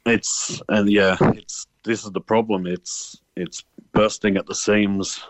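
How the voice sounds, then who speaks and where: A man's voice: fairly low pitch, little pitch movement, slow pace, dry recording, poor audio. A man with an Australian accent giving casual answers as a phone-in guest, matter-of-fact, hesitant and fed up. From born in Ireland, grew up in Australia.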